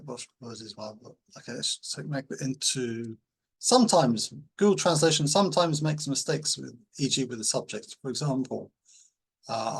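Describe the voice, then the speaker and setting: A man teaching in an informal tutorial, explaining things in a halting, hesitant way. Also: medium pitch, breathy edge, poor audio. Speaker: language-learning teacher.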